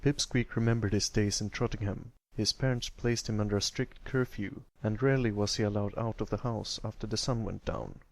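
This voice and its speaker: A man's voice: on the low side, steady tone, fairly quick, vocal fry, few pauses. A man reading scripted story narration as an amateur audiobook narrator.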